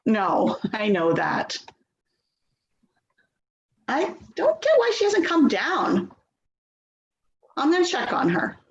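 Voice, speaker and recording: In a roomy, slightly muffled recording, an actress plays an anxious grown daughter in a stage drama. She is placating and quick to blame herself, with a highish voice that swings expressively. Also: wildly swooping pitch, heavily acted. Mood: uneasy. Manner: placating, hesitant, self-blaming. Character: Louise, anxious self-doubting grown daughter of a difficult mother. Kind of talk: dramatic play reading, naturalistic dialogue. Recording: echoey, dull, noisy remote recording.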